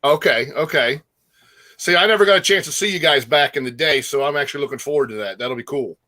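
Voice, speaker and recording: A man's voice: fairly high pitch, fast talker, pushed and bright. A man chatting casually as a guest co-host, putting questions to an interviewee.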